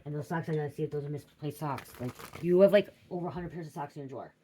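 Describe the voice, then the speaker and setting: A woman's voice: low-pitched, very fast, dark tone, breathy edge. A middle-aged woman giving a rambling psychic reading, drifting off track, swearing freely and asking "okay?" over and over. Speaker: psychic tarot reader. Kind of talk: rambling casual livestream reading.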